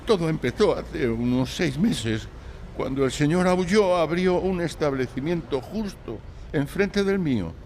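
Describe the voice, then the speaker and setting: A meek, anguished young man in a radio-style drama. His voice is high and gravelly at the edges, halting and full of sighs, with noticeable noise behind it. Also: lively intonation, a little mellow. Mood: meek, troubled. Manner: hesitant, halting, sighing. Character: timid, anguished young male client telling his misfortune. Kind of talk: live audio drama acting.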